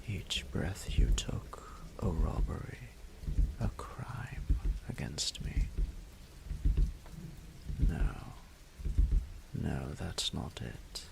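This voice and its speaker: A man voicing an ancient, haughty and flirtatious male dragon in a roleplay audio drama, speaking in a formal, imperious, deliberate way. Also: exaggerated voice, low-quality recording. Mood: stern, accusing. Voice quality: breathy, gravelly low voice.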